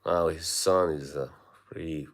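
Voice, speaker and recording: Man, Bosnian accent, live streamer, slow rambling talk, lots of fillers. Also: very deep voice, fairly even intonation. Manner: rambling, halting, with many fillers. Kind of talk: casual live stream chat with viewers.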